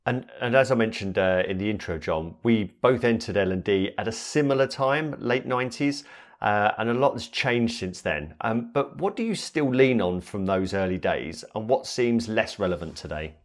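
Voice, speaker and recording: Man, middle-aged, podcast host, lowish voice, hesitant, filler-heavy. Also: expressive, normal speed. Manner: hesitant, frequent ums and backchannel. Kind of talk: interview hosting.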